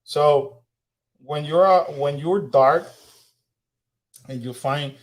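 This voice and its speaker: A man's voice: on the high side, takes it slowly. A man co-hosting a casual livestream chat, repeating and restarting his phrases. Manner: repetitive, restarts often. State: hoarse after losing voice. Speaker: co-host.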